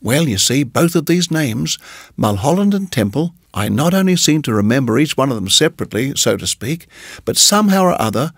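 A man's voice: medium pitch, very animated, fairly quick, breathy edge, no room sound, clean studio sound. A man voicing a polite young male lodger in an audiobook, musing and earnest, a little hesitant. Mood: earnest, searching. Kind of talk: audiobook character voice.

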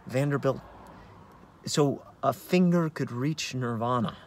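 American man, halting dramatic storytelling. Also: a little high, slight background noise. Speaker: actor in a staged reading. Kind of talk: dramatic narration of a play read on book. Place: inside a parked car in daylight.

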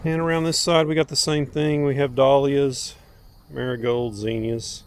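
A man's voice: mid-range voice, flat delivery, moderate pace, clear, resonant tone. A man giving a casual, unscripted garden tour outdoors as a homestead vlogger, in a folksy, plainspoken way. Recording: outdoor recording with faint ambient noise.

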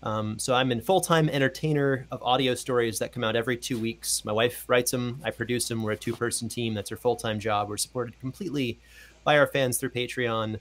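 A man's voice: medium pitch, fairly even intonation, a little fast, a bit bright. A man in his thirties with an American accent, talking casually and modestly as an interview guest. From Portland, Oregon, United States. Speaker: guest, audio fiction producer. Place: indoor room, video call. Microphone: close studio mic with headphones.

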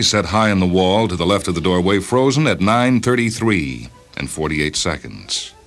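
Male narrator, extremely low bass voice, for dramatic short-story reading with deliberate pauses, music underneath. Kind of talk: scripted narration of a science fiction short story. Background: music behind the voice.

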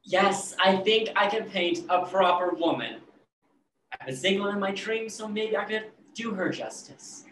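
A man's voice: sits high, lively intonation, unhurried, a bit bright. In an echoey room with some noise, a young man acts out a sullen aspiring painter. He argues his points in an everyday voice, as in a play read over a video call. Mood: subdued. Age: young adult. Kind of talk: virtual staged play reading. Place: bare brick-walled room, video call.

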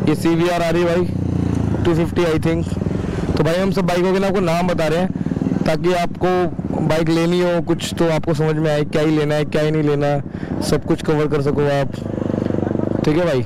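A man giving casual, enthusiastic motovlog commentary, often repeating his exclamations. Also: on the high side. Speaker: motovlogger, host. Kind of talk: casual vlog commentary to viewers. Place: outdoors on open roads and roadside. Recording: very loud outdoor motorbike and traffic noise. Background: motorcycle engines, vehicles.

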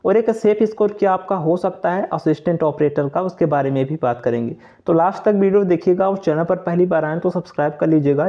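High-pitched young man, explaining. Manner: repetitive, explanatory. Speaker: exam-update channel presenter. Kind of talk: informal explainer voice-over. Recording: dull, lo-fi voice recording.

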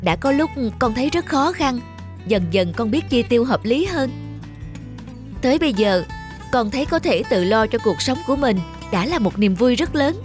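Woman voicing a high-pitched earnest young man. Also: lively intonation, moderate pace, natural voice. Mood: warm, earnest. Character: earnest young man, spendthrift son learning independence. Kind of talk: animated moral-story dialogue. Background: background music.

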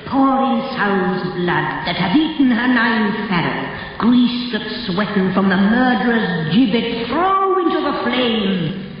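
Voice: medium pitch, very animated, slow pace, a little hiss or hum. A performer voicing an eerie, incantatory witch in 1950s radio Shakespeare. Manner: incantatory, declaimed in verse. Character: eerie chanting witches guarding dark secrets. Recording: muffled 1950s radio, cavernous echo, distant.

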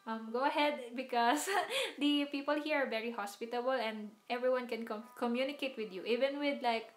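A young woman with a Filipino accent chats with her stream viewers from a small room, her voice sitting a little high. She laughs often and repeats words as she searches for them. The room adds a roomy echo, and faint music plays behind her. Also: brisk. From Philippines. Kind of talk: casual chat to live viewers.

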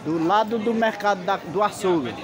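A man's voice: high voice, moderate pace. A man, chatting with vendors and calling out prices as he walks a street market with his phone. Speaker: vlogger touring a market. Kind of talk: casual walking vlog. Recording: loud market crowd around.

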